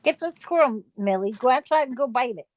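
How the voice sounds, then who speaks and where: A woman's voice: high voice, animated, fairly quick, dry recording, muffled recording. A woman chatting casually on a livestream in short remarks and interjections.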